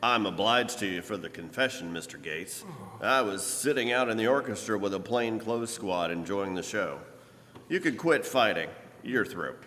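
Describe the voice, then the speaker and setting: An actor playing a gruff, wry American police commissioner in an old-time radio crime drama, performed live. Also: male voice, on the low side, lively intonation, moderate pace, bright, forceful tone, a little hiss or hum. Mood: smugly triumphant. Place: church hall stage before an audience. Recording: echoey church hall live performance.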